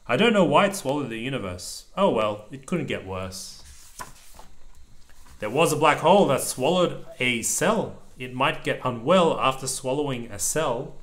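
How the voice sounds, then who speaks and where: A man reading a children's picture book aloud to a small child, pausing to explain things in simple words. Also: medium pitch, lively intonation. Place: indoors at a table over the open book.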